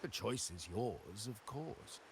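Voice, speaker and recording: A proud, commanding male heir in a game drama. His voice sits very low and moves expressively through formal, measured phrases. A clear hiss lies behind him. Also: lively intonation, heavily acted. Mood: menacing. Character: stern, proud male heir of a powerful crime family, an uncle. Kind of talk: dramatic video game dialogue.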